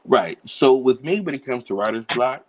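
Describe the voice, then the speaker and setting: A man in his thirties from Cincinnati, a children's book author answering interview questions in a relaxed way, laughing easily and filling pauses with "um" and "you know". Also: mid-range voice. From Cincinnati, USA.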